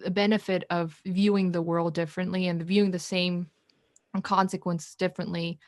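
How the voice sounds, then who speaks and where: A woman whose first language is Korean, hosting a casual chat over a video call and thinking aloud with many restarts and pauses. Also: fairly low pitch, level tone, no echo, clean studio sound. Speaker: podcast host. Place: indoors in Korea.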